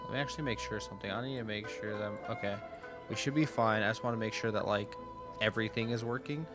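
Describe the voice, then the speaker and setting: A woman's voice: rumbling low, fairly even intonation, fast talker. A woman streamer reacting casually to a game, with a goofy, jokey, slangy way of talking. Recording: loud game music behind.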